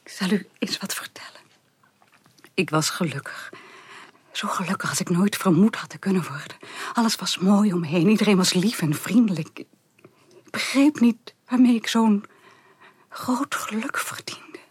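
An actress playing a despairing, quick-tempered young woman in a period radio drama, speaking in an anguished, halting way that often nears a whisper. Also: medium pitch, no echo. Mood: despairing, confessional. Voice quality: husky, breathy. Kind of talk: Dutch radio play acting.

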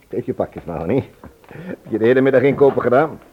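Husky, insistent, genial husband. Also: male voice, mid-range voice, expressive, fast. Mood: smooth, hospitable. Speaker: actor in a radio play. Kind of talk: Dutch radio drama acting. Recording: muffled old radio play recording.

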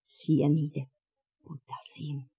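A slow, morbid, low-voiced doom-monger. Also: female voice, slightly rough, full character voice. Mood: deadpan. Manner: deadpan, slow, macabre relish. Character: morbid, ominous, deadpan doom-mongering woman. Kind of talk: Dutch radio play acting. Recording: muffled, dull old radio-play recording.

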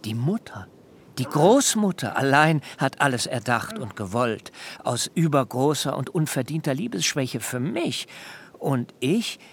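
An actor playing a fearful, self-excusing biblical fugitive who pleads in wordy, theatrical rhetoric in a literary radio drama. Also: male voice, medium pitch, big pitch swings, measured pace, gravelly, a little hiss or hum. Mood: anguished self-defence. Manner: theatrical, beseeching, rhetorical questions, hushed dips into whisper.

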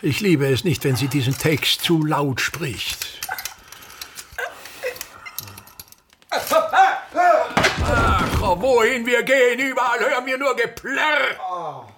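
An actor playing a vain, tyrannical old touring actor and patriarch in a radio drama, declaiming and commanding in a pompous manner. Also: male voice, high-pitched, expressive, a little slow, noisy background. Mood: indignant ranting. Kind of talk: theatrical radio drama monologue.

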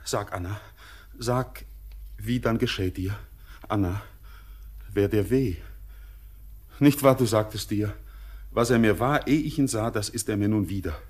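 Brooding young stranger, very husky, slow. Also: male voice, on the low side, darkish tone. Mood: urgent, pleading. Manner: solemn, intense, drawn-out declamation. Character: brooding, haunted young stranger, wild and yearning. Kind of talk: classic German radio drama, poetic stage declamation.

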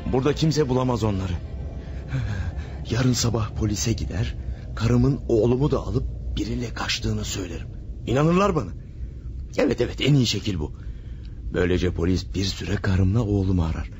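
A man's voice: fairly low pitch, lively intonation, normal speed, pauses often, darkish tone, faint music behind, close to an everyday voice. A man playing a cold-blooded killer in a radio drama, muttering and gloating to himself as he hides his crime. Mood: scheming. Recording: old radio play with faint hiss.

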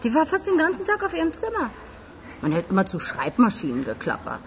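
A woman's voice: fairly high pitch, huge pitch swings, brisk, slight background noise. A woman in a German radio crime drama playing a sly, flirty, gossipy sanatorium receptionist.

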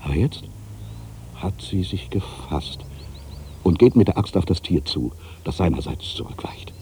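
An actor playing an aging, weary writer in a literary monologue, brooding and wry, with a gravelly voice and deliberate, weighted pauses. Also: male voice, low-pitched, expressive, warm tone, a little hiss or hum. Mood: tense, alarmed. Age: older.

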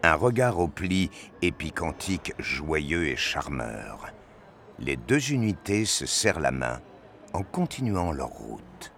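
A man with an extremely low voice narrates an audio fiction story. He reads slowly, with lively rises and falls in pitch. There is faint background noise. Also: creaky.

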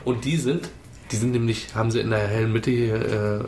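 A man's voice: lowish voice, fairly even intonation, a little fast, darkish tone, slight background noise. A man co-hosting a podcast, telling anecdotes in a casual, rambling, conversational way. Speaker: podcast co-host. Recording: roomy sound, faint music.

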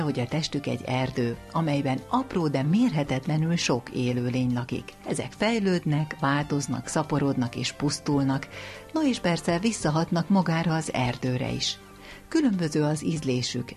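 A woman's voice: low voice, a little mellow. A woman radio presenter reading scripted narration for a science programme.

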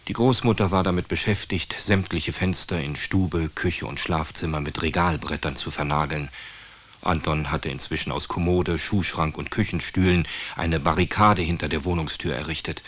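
A man's voice: low-pitched, fairly bright tone. A man narrating a scripted children's story in a radio drama as an observant storyteller.

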